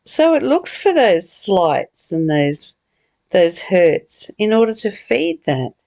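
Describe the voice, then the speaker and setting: A woman's voice: medium pitch, very animated, slow pace, creaky, darkish tone, dry recording. A middle-aged woman talking reflectively and personally in a conversational study discussion. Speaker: study group leader.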